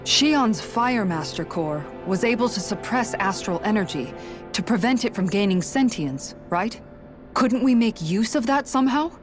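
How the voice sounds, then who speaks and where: A thoughtful young companion in a fantasy game, acted by a woman, with a middle-pitched voice. She questions earnestly and practically, soft music behind her. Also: animated, normal speed, natural voice. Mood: questioning.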